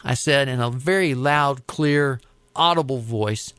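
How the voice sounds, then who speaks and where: An American man recounting his work as an air traffic controller in a conversational, deliberate, explanatory way. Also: medium pitch, fairly bright tone, smooth, dry recording. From United States. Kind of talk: conversational storytelling interview.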